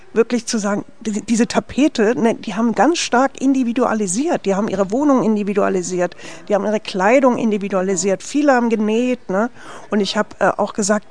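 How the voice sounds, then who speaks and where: A woman's voice: medium pitch, a little mellow, no echo. A woman from West Berlin, a film director, answering interview questions in a chatty way. She tags her sentences with a questioning "ne?" and restarts her sentences often.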